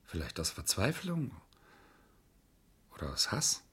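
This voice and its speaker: A slightly husky, deep-toned lawyer from a vintage crime radio drama. He is formal and calculating, and his melody moves widely as he insistently drives each point home. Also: very animated, fairly bright tone, exaggerated voice. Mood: urgent, grave. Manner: measured, pressing, formal. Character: insistent, calculating male lawyer pressing a witness. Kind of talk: vintage German crime radio play acting.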